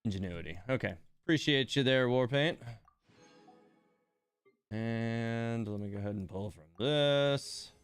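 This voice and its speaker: A man's voice: medium pitch, unhurried, long pauses, clean tone, no room sound, soft background music. A man hosting a game livestream in casual chat, muttering exasperated asides to himself and his viewers. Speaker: livestream host playing a gacha game.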